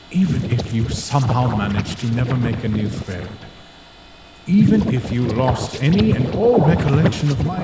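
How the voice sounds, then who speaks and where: Man voicing a solemn, slow-speaking skeleton father. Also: medium pitch, reverberant, very noisy, close to an everyday voice. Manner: measured, formal, tender. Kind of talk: animated comic dub acting.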